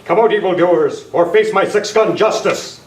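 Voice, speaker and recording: A man's voice: high voice, normal speed, natural voice. A man playing an upright, earnest masked lawman in an old-time radio western, with a formal heroic manner. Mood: commanding. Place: club meeting room, live presentation. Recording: echoey, noisy room, distant mic.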